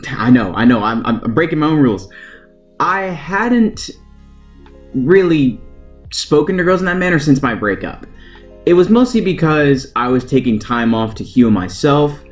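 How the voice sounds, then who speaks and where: A young American man with a highly animated, mid-pitched voice talks casually, as if recounting a personal story to viewers. His phrasing is colloquial and self-deprecating, with a joking edge. Guitar music plays behind him. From United States. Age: young adult. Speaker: vlogger telling personal stories.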